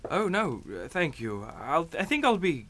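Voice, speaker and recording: Captain, sharp bright voice. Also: male voice, on the high side, very animated, slight background noise, exaggerated voice. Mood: nervous, halting. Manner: halting, courteous. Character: polite, uneasy English captain, a reluctant guest. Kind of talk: radio suspense drama acting.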